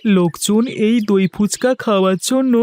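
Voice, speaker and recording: A woman's voice: medium pitch, normal speed, darkish tone, natural voice. A woman voicing a chatty, gossipy village child in a cartoon story. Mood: gossipy, teasing.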